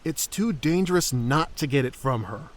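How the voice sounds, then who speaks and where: A man's voice: a little high, animated, moderate pace, lightly acted. A man playing an earnest, cautious and polite cleric in a fantasy audio drama. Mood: earnest, concerned.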